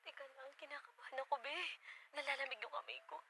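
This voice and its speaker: A woman's voice: high voice, steady tone, fast talker, very noisy. A woman with a Filipino accent playing a hurt, hot-tempered young girlfriend in a melodramatic Tagalog radio drama. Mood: nervous, hushed. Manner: melodramatic, emphatic, repeats phrases.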